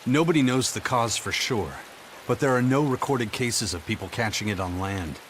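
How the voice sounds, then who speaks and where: A man playing a steady, caring pirate officer in a video game, giving orders in a firm way. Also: medium pitch, moderate pace, background noise, close to an everyday voice. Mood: brisk command. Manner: firm, commanding.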